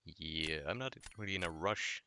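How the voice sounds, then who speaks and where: A man's voice: sits low, normal speed, a little hiss or hum. A young man from Finland with a Finnish accent, chatting casually on a late-night gaming stream while answering his chat. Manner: relaxed, reading chat aloud and answering.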